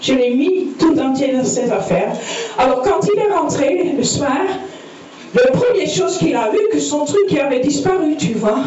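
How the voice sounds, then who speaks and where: A woman pastor from the Netherlands with a Dutch accent, preaching a lively sermon with personal testimony and frequent amens, recorded from a distance in a church hall. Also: high-pitched, normal speed, dull audio, slight background noise. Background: congregation answering amen.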